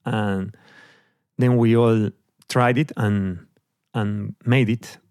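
A middle-aged man from Argentina with an Argentine accent and Spanish as his first language, telling his story as an interview guest with hesitant pauses and fillers. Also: fairly low pitch, steady tone, darkish tone, dry recording, studio quality. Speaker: guest musician.

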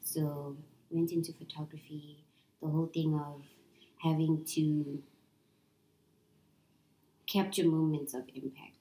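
A woman's voice: low voice, little pitch movement, slow, pauses often, dark tone, reverberant, poor audio. A woman in her twenties, a podcast guest, chatting in a wry, hesitant way. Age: 20s. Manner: wry, hesitant, drops to a mutter. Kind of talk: casual chat.

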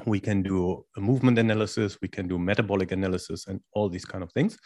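A man in his forties from Germany with a German accent, answering interview questions in English as a coach in a calm, explanatory way. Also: deep voice, fast. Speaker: guest, strength and conditioning coach.

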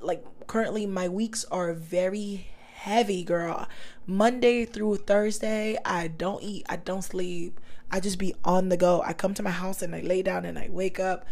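A woman hosting a casual solo podcast, chatting straight to her listeners in a slangy, easygoing way, her voice still a little raspy and hoarse. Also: lowish voice, a little mellow. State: slightly hoarse, recovering voice. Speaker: podcast host.

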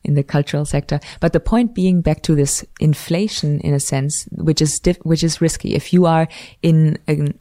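Gravelly, mellow woman academic. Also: low voice, no room sound, studio quality. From Switzerland. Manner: thoughtful, academic, frequent self-corrections. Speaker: guest researcher on incentives. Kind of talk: conversational interview.

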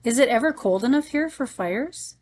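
A woman's voice: fairly high pitch, animated, normal speed, darkish tone, slight background noise, pitched above the performer's own voice, natural voice. A woman reading the part of an affectionate, enthusiastic aunt in a storybook. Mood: admiring, curious. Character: affectionate, enthusiastic aunt narrating a heavenly visit. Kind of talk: storybook read-aloud.

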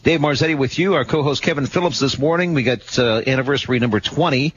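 A man hosts a radio talk show in an upbeat, brisk way, with a mid-range voice. The broadcast sound is slightly dull, and a faint hiss runs underneath. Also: medium pitch. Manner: upbeat, brisk station announcements. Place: radio studio.

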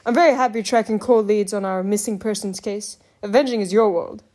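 A woman's voice: medium pitch, fairly quick, darkish tone, natural voice. Woman voicing an easygoing male fighter buddy.

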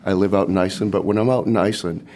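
A man with a light Boston accent answers interview questions in a rambling, meandering way. His voice sits low, and he circles back with fillers and restarts as he talks. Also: steady tone, very fast, darkish tone, a little hiss or hum. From Boston, USA. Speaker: startup team member. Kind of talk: casual interview talk.

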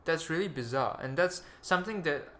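A man's voice: fairly high pitch, expressive, a little fast, pushed and bright, slight background noise. A young man with a Malaysian accent hosting a podcast in a rambling, crude, self-mocking casual chat. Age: young adult. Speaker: podcast host.

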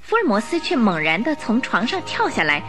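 Lively woman narrator over music. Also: on the high side. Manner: expressive, measured storytelling. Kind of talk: dramatic scripted story narration for radio drama. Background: music under the voice.

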